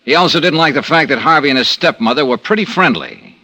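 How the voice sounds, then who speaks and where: An American actor playing a dogged, wry insurance investigator with a conscience in a 1950s radio detective drama. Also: male voice, a little high, lively intonation, fast, sharp tone, dry recording. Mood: earnest, insistent. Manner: wry, insistent, hard-boiled delivery. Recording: noisy old radio drama recording.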